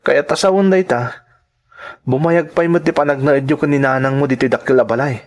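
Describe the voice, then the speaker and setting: A man's voice: a little high, a little fast, close to an everyday voice. A man playing a devoted, sincere young suitor in a radio drama, with an Ilocano accent. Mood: earnest.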